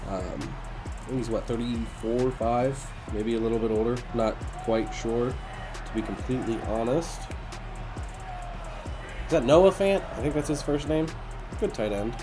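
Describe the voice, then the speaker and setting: A man with a raspy, nasal, congested voice giving casual commentary on a video game as host. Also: medium pitch, measured pace, long pauses, music in the background. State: congested after being sick.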